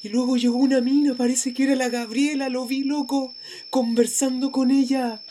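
A woman's voice: sits high, level tone, moderate pace, mellow, a little hiss or hum, close to an everyday voice. A woman with a Chilean accent acting an urgent, pleading, frightened young friend in a radio drama. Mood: urgent, scared. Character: frightened young friend who saw the devil.

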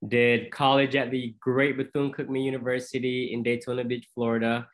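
A man in his thirties from Jamaica, a dentist answering interview questions in a measured, explanatory, conversational way. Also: medium pitch, level tone. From Jamaica, moved to the US as a teenager. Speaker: podcast guest, dentist.

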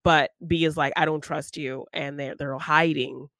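A woman's voice: low-pitched, steady tone, moderate pace, vocal fry, a bit bright, no room sound, clean studio sound. A woman co-hosting a podcast, casually retelling a film in an informal, conversational way. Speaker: podcast co-host.

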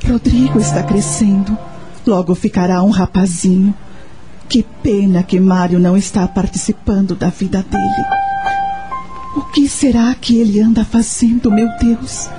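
An actress as an abandoned mother in a radio soap, musing sadly to herself. She is gentle and wistful at a medium pitch, with music clearly playing behind her. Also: fairly even intonation, measured pace, natural voice. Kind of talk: radio drama monologue.

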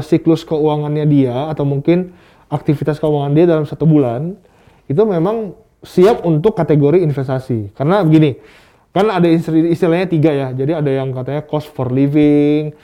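A man's voice: fairly high pitch, muffled recording. A man, speaking as a guest expert in a casual interview, explaining through rhetorical questions and examples. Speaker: guest expert on stock market investing.

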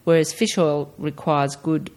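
Middle aged aussie lady, slightly low voice, chatty podcast guest explaining stuff casually. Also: fairly even intonation, measured pace, darkish tone. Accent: Australian. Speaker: podcast guest discussing health and personal tastes. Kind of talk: casual chat.

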